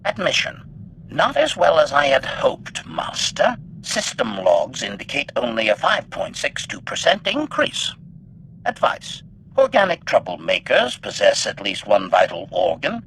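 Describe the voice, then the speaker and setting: A man's voice: mid-range voice, huge pitch swings, a little slow, fairly bright tone, a little hiss or hum, full character voice, low-quality recording. A man voicing a polite but bloodthirsty assassin droid in a video game, clipped, formal and coldly analytical. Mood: formally contrite. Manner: clipped, formal, announces each statement type. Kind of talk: video game character acting.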